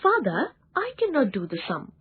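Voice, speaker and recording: Woman voicing a plaintive, husky young schoolboy. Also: high voice, wildly swooping pitch, normal speed, dry recording, pitched above the performer's own voice, exaggerated voice. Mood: helpless. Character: helpless, reluctant young schoolboy son. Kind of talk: dramatised children's story reading. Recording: band-limited, tinny, lo-fi audio.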